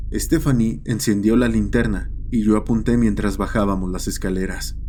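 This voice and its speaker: A man's voice: lowish voice, mellow, a little hiss or hum. A man reading a scripted horror story as its narrator.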